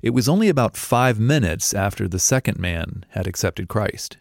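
Calm male narrator, dry close sound. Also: on the low side, no room sound. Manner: calm, measured storytelling. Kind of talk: scripted radio narration.

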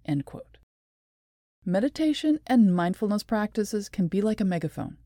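A woman presenting a scripted explanatory talk in a measured, deliberate and articulate way. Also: mid-range voice, sing-song, warm tone, no echo, clean studio sound. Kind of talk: scripted explanatory narration with read quotations.

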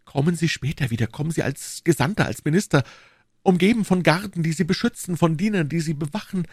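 A man's voice: a little high, animated, a little fast, a little mellow, breathy edge, no room sound, studio quality, pitched above the performer's own voice. A man voicing an anguished, torn queen, proud yet secretly in love, with an imploring, agitated, regal manner.

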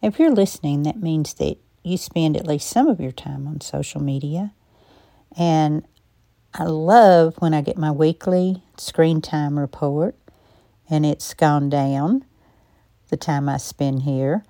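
A woman with a low, gravelly, hoarse voice talks slowly and thoughtfully through a personal podcast monologue. She has a non-native English accent, pauses often between her thoughts, and sounds close and dry on the mic. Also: no echo. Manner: calm, reflective, measured delivery with frequent pauses. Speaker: podcast host.

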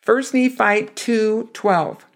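A hoarse woman giving an earnest, emphatic scripture lesson. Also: medium pitch, extremely slow. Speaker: scripture teacher.

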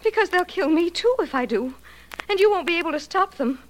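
Frightened, high-voiced young lady, old radio. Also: expressive, brisk. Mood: terrified, pleading. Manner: urgent, breathless, hesitant melodramatic pleading. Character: frightened, pleading young woman desperate to save boyfriend. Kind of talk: 1940s radio acting. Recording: noisy vintage 1940s radio recording.

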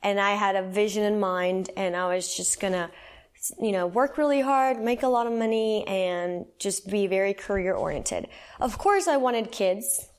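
Woman, 30s, raised in El Salvador; earnest, conversational testimony, mid pitch. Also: normal speed. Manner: earnest and conversational, with many fillers. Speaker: guest sharing her testimony. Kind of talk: personal faith testimony.

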